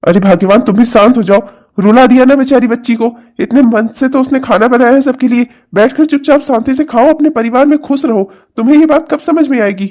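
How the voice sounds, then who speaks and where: A man's voice: sits high, fairly even intonation, a little fast, lightly acted. A man playing a kindly older father-in-law in a family drama, reasoning and placating as he speaks. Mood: pleading, exasperated. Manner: reassuring, gently reasoning. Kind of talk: melodramatic family story acting.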